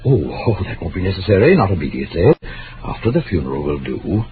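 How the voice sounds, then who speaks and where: A man's voice: deep voice, sing-song, fast talker. An actor playing a fussy, unctuous middle-aged mortician in an old-time radio drama, hesitant and solicitous like a salesman. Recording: tinny, noisy old radio recording.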